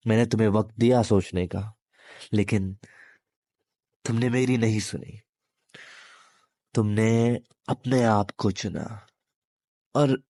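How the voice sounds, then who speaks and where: A menacing demon in human form, voiced by a man, speaks low and very slowly in an intimate roleplay audio. He is possessive and taunting, with deliberate pauses and mock sympathy. The sound is close and dry. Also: a little mellow, no room sound. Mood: menacing, gloating. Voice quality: deep, smooth.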